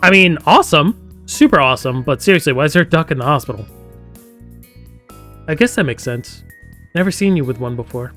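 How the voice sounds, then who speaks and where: A man's voice: medium pitch, a little fast, long pauses, a bit bright. A man streaming a game live, reading its story text aloud and breaking off into chatty, joking asides. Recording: music playing behind the voice.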